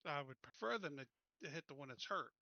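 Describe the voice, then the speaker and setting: Very sharp, higher-pitched male player. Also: animated, quick pace, creaky, dry recording, lo-fi sound. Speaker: player in a tabletop roleplaying game. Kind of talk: casual game chat.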